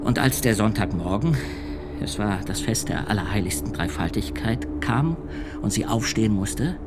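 A grave male witness , played by a man, recounts his testimony before a tribunal in a radio drama. He speaks in a measured, sombre way that sometimes drops almost to a whisper. Music runs beneath his voice. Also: fairly low pitch, lively intonation, lightly acted. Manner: measured, sombre telling, hushed at times. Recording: music under the voice.

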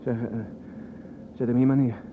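A man's voice: medium pitch, level tone, moderate pace, phone-quality sound, natural voice. Actor as an uneasy man over car noise. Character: uneasy man riding as car passenger at night. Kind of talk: radio drama acting. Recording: vehicle noise behind.

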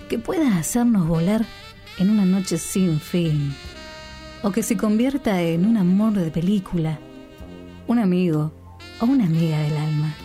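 Slow, low-voiced woman announcer over music. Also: mellow. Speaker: radio segment announcer. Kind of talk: scripted, sensual late-night radio intro narration. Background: music bed.

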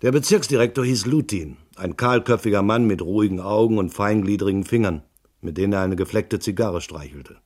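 Man playing a low-voiced, hardboiled private detective. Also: fairly quick, a little mellow, natural voice. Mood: dry narrating. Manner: terse, dry, unimpressed. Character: hardboiled, laconic male private detective narrating his case. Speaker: actor narrating and playing the lead. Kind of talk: hardboiled detective radio drama.

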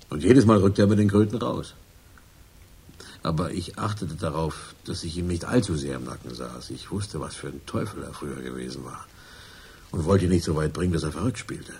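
An actor playing a nervous, self-justifying crook confessing in a radio crime drama, halting and colloquial. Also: male voice, very deep voice, a little mellow, slightly rough, exaggerated voice. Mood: matter-of-fact, subdued.

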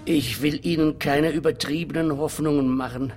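An actor playing a self-justifying, money-minded anti-aging therapist in a radio play, explaining things in a measured, condescending way. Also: male voice, a little high, fairly even intonation, darkish tone, soft background music, lightly acted. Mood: smooth, persuasive.

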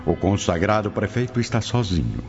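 Deep-voiced male radio drama narrator. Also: very animated, brisk, gravelly. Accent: Brazilian. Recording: dull lo-fi audio. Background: prominent music.

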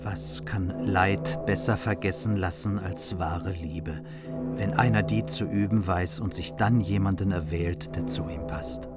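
Courtly noble envoy, music behind. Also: male voice, low voice, fairly even intonation, a little slow. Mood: consoling, persuasive. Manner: formal, courteous, persuasive. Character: noble courtly margrave, earnest envoy pleading his lord's suit. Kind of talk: German radio play acting.

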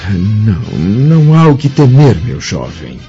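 An actor playing an aged, blind Roman senator, weary but dignified, speaking formally and solemnly in a radio drama. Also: male voice, on the low side, wildly swooping pitch, unhurried, exaggerated voice. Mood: earnest, resolute. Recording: dull lo-fi radio drama with music.

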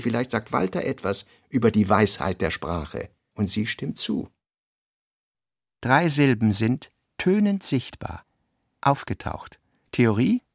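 A man reading literary notes and prose for a radio play, in deliberate, clipped phrases with pauses between them. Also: medium pitch, vocal fry, husky.

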